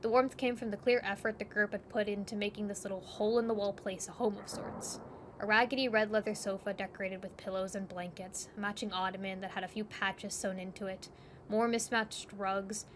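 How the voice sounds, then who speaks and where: A woman with a medium-pitched voice narrates an audio drama in the second person. It is scripted, descriptive storytelling. Clear noise sits behind her voice. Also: steady tone, fairly quick.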